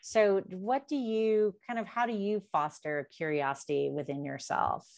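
A middle-aged woman hosting an interview over a video call, asking slow, thoughtful questions. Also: medium pitch, gravelly, a little mellow, no echo. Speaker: interview host. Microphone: video call with earphone mic.

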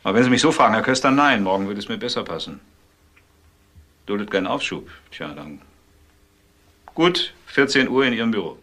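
Cold, sarcastic husband for crime drama: low, sharp voice, controlled bitterness. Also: male voice, expressive, brisk, pauses often. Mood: guarded. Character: cold, bitter betrayed husband, an upper-class doctor. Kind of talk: crime radio drama acting.

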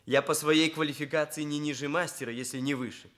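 An actor playing an earnest, self-important young trainee hairdresser in a radio drama, speaking in solemn, pedantic, stilted phrases. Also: male voice, fairly high pitch, fairly even intonation, brisk, fairly bright tone, natural voice. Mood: confident, matter-of-fact. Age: young adult. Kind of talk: Soviet radio drama acting.

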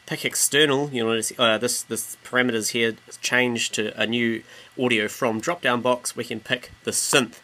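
A man from New Zealand giving a casual software tutorial as a music production tutor, informally explaining and rephrasing himself as he goes. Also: medium pitch, fairly even intonation, moderate pace.

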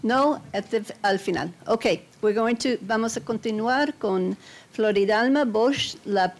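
A woman moderating a panel, making brisk announcements about time and the next speaker and slipping into Spanish, picked up from a distance on a room microphone. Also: on the high side.

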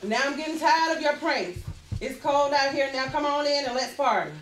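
A woman reads the part of a brash, irritable mama with a high voice. She talks in a folksy drawl, heard from a distance in an echoey room at a table read. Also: moderate pace, fairly bright tone, a little hiss or hum. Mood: brash, fed up. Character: irritable, worried Southern party-hosting mama. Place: conference room around a long table. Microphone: camera mic across the room.

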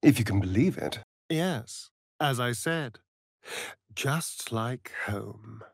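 An actor playing a vain, sardonic vampire rogue in a video game, with an arch, drawling, theatrical delivery. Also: male voice, medium pitch, expressive, slow, gravelly, dry recording, studio quality, full character voice. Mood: pensive. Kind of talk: video game character acting.

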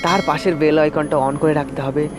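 A man's voice: a little high, fairly even intonation, fairly quick. A man telling a scripted first-person horror story as a channel narrator, with music behind him. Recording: music under the voice.

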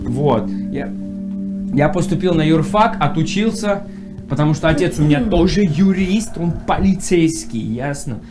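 A man's voice: a little high, expressive, moderate pace, music in the background. A young man in his twenties from Nagorno-Karabakh, joking and rambling in a casual interrogation game, recorded in a wooden house living room. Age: 20s. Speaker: reality show contestant. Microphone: far ceiling camera.

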